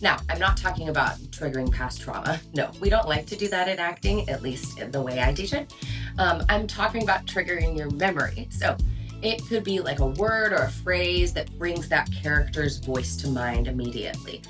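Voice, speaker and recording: Low voiced lady teaching voiceover stuff, casual n chatty, punches her words, music going in the background. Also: lively intonation, normal speed. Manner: chatty, emphatic, informal. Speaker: voice-over coach and show host. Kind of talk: casual instructional video chat.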